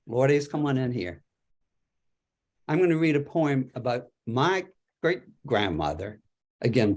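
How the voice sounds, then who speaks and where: A man at a poetry reading, acting as the presenting poet. He has a medium-pitched voice and moves between conversational asides and read verse. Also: darkish tone. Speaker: poet presenting his book. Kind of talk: poetry reading with informal introductions.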